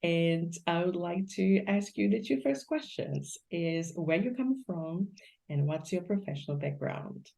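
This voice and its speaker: Lower-voiced woman asking halting questions. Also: expressive, vocal fry, dark tone. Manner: halting questions with many fillers. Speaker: host. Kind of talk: casual interview.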